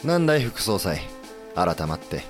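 An actor playing a composed, authoritative navy leader of a rebel republic in a Japanese historical audio drama. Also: male voice, lowish voice, sing-song, moderate pace, soft background music. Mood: confident.

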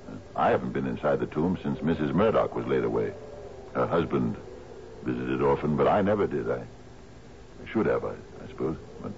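Very deep-voiced, doddering old sea captain. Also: male voice, darkish tone, exaggerated voice. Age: older. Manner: halting, stammering, repeats himself. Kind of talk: 1970s radio drama acting. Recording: dull, noisy old radio recording.

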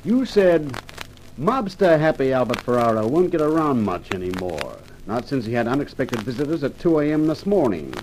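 An actor playing a shrewd, quietly menacing male police chief in a 1950s radio crime drama, dry and sarcastic. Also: mid-range voice, big pitch swings, moderate pace, natural voice. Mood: accusing, sarcastic. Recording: slightly noisy vintage radio recording.

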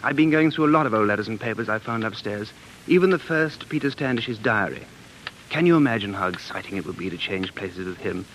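A man's voice: on the low side, lively intonation, fairly quick, a bit bright, a little hiss or hum, low-quality recording. A man playing an earnest, dreamy American living in London, speaking intensely and musingly in 1940s radio drama style. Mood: wistful, fascinated. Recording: 1940s radio broadcast, faint music.

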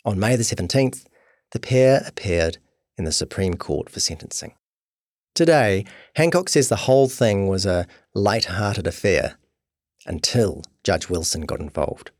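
Kiwi guy narrating a documentary podcast, lowish voice, really dry sound. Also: animated, measured pace, no room sound.

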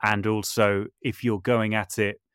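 A man's voice: on the low side, flat delivery, unhurried, fairly bright tone, smooth, no echo, studio quality. A man speaking reflectively as an interview guest, thoughtful and often hedging or correcting himself as he goes. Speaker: podcast guest, audio drama maker and composer.

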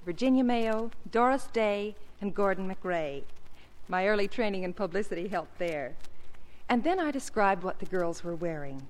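An old radio recording from an echoing hall. An American woman with a medium-pitched voice gives polished, scripted answers as a 1950s commentator. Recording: echoey vintage 1950 radio recording.

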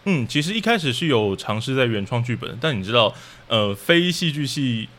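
A man's voice: medium pitch, sing-song, moderate pace, a bit bright. A man with a Taiwanese Mandarin accent, a podcast guest explaining things in casual interview talk. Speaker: podcast guest, audio drama troupe leader.

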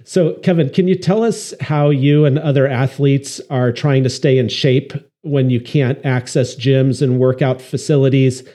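A man hosting a medical podcast, reading a formal introduction and then interviewing a guest in a measured way. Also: medium pitch, fairly even intonation, warm tone. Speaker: podcast host, physician.

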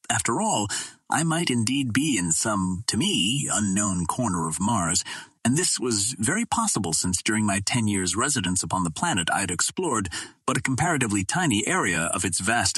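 A man reads an adventure novel in a fairly low voice with a husky grain. His delivery is measured and formal, that of a storyteller working from a script. The sound is close and dry, with very little room around it. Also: a bit bright, no room sound. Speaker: audiobook narrator.

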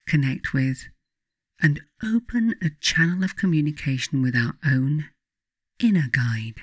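A woman narrates in a reflective, scripted style suited to an audiobook or guided meditation. Her phrasing is measured and deliberate, broken by frequent pauses. The recording is dry, with little room sound around the voice. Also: extremely low pitch, dry recording. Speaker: author reading own book, guided meditation narrator.

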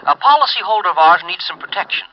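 A man's voice: high-pitched, animated, fast. An actor playing a hesitant middle-aged male insurance executive speaking over a telephone in a 1950 radio drama. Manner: hesitant, persuasive. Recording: thin phone-line radio sound.

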